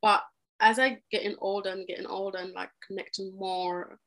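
A woman's voice: mid-range voice, level tone, moderate pace, a bit bright. A young adult woman from Egypt, a podcast guest, talking earnestly and with animation in a conversational interview, often restarting her sentences. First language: Arabic.

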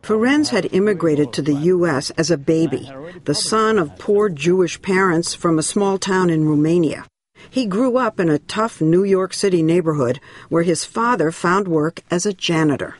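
A woman correspondent narrating a television news feature in a measured, scripted way. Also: fairly low pitch. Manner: measured, deliberate.

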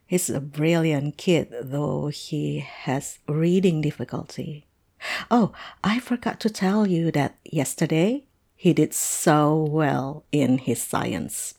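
A woman playing a playful, chatty teacher telling a colleague a story in an animated, amused, conversational audio roleplay. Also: low-pitched, slow pace, gravelly, heavily acted. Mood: friendly, encouraging. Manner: animated, amused, punctuated with chuckles.

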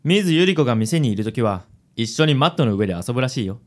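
Smooth playboy guy acted out, theatrical and gallant, mid pitch, tosses in english words. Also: lively intonation, fast talker, natural voice. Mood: suave, gentle. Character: suave, gallant playboy man nicknamed Handsome. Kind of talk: radio drama acting.

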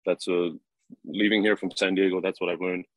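A young man in his twenties, a rugby player answering interview questions in a relaxed, halting way with many fillers and chuckles. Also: low-pitched, no room sound, poor audio. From New Zealand. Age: 20s.

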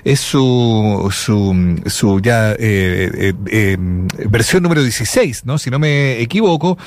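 A man's voice: medium pitch, animated, slow, slight background noise. A man with a Chilean accent hosting a live radio interview. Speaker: radio host.

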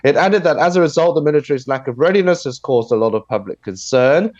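A man with a head cold gives a plain, measured news explainer over a video call. His voice is nasal and a bit husky, and he fills pauses with "uh". The audio is dull, dry and muffled. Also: fairly high pitch, no room sound. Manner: steady explanatory delivery with many fillers. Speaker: news commentator. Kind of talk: radio news discussion.

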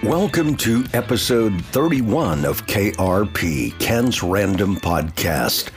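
A man's voice: on the low side, very animated, takes it slowly, soft background music. A man hosting a casual podcast with a nasal, stuffy voice while he recovers from flu, talking in a friendly, joking way. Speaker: podcast host.

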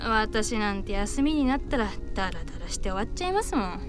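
A woman's voice: medium pitch, big pitch swings, brisk, over music, lightly acted. A woman acting a young, deferential and easygoing female office junior in a voice drama, polite and bubbly.

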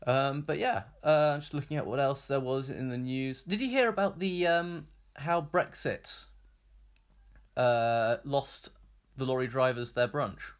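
Male British co-host, casual and tentative, mid-pitched, thinks aloud in halting phrases. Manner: hesitant, halting, hedging. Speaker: podcast co-host. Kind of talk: casual news chat.

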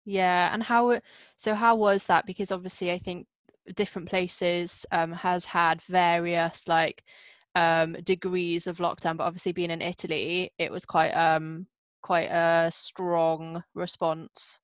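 A woman hosting a podcast, chatting casually with quick asides. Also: lowish voice, level tone, no room sound. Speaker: podcast host. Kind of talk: casual chat.

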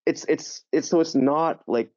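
A man, a guest on an interview show, telling stories in a lively, chatty way with many fillers and quick restarts. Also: on the high side, no echo, dull audio. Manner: animated storytelling, full of fillers and quick restarts. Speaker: podcast guest, language teacher. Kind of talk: casual interview chat.

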